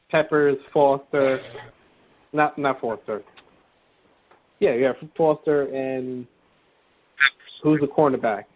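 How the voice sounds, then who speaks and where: A man co-hosting a casual sports podcast, joking and loose, often trailing off and restarting. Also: mid-range voice. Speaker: podcast co-host. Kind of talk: casual sports call-in chat. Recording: tinny phone-like line with faint hiss.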